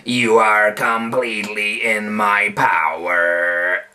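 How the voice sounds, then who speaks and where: A male narrator voicing a huge, cold pirate chief with a low, grating voice and slow delivery. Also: flat delivery, sharp tone, heavily acted. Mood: menacing.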